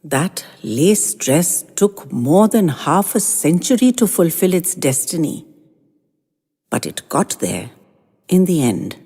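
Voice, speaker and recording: A woman narrating audio fiction in an unhurried, expressive storytelling manner. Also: sits low, slightly rough. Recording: long reverb, faint music underneath.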